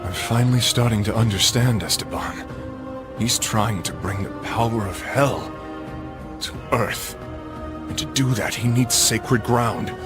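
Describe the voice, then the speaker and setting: Man playing a grim crusader knight over loud music. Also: lightly acted. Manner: solemn, formal archaic phrasing. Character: grim, resolute young crusader knight, fiercely righteous. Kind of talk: dramatic video game cutscene acting. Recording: loud music and rumble behind.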